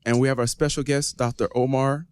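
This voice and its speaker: Guy co-hosting a podcast, clean smooth voice, kinda halting intros, no room sound. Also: mid-range voice, level tone, darkish tone, no echo. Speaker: podcast co-host.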